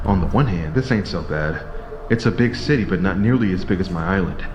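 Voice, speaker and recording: A man voicing an earnest, gruff echidna guardian hero in a comic dub, plainspoken and reflective. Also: low voice, lively intonation, fairly quick, noisy background. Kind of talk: comic dub narration in character.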